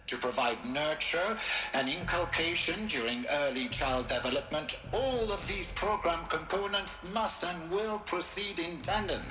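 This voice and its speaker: A man's voice: on the high side, close to an everyday voice. A man playing a stern program director. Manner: measured, formal, deliberate speech with weighty pauses. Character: male head of a human genome preservation program, commanding. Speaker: program director giving a briefing. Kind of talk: game cutscene voice acting. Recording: roomy audio with music behind.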